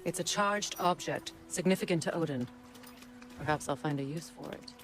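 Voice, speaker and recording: An actress playing a proud, bitter and ruthless Norse goddess in a video game, speaking formally with a cool, sharp tongue. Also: female voice, low voice, moderate pace, close to an everyday voice. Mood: cool, insistent. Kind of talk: video game dramatic acting. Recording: game music behind the voice.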